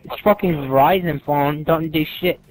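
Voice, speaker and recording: Young man, fairly high voice, prank caller, crude and taunting, music behind. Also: moderate pace, low-quality recording. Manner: crude, foul-mouthed, taunting. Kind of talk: prank phone calls, casual chat.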